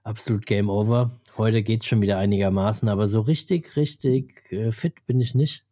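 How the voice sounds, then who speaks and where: A man co-hosts a podcast in relaxed conversation, explaining at an unhurried pace. His tone is dark and mellow, and a slight cold leaves his throat scratchy. The sound is dry and close to the mic. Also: lowish voice, no echo. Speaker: podcast co-host. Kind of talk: casual chat.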